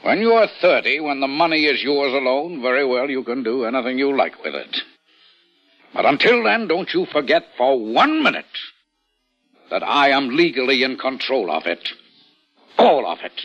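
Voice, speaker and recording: An actor playing a pompous, scheming and domineering rich uncle with blustering, emphatic delivery in vintage radio style. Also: male voice, medium pitch, very animated, normal speed, fairly bright tone, soft background music. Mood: stern, indignant. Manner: blustering, emphatic, repeats himself for effect. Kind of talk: 1940s-50s American radio acting. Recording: muffled vintage radio recording.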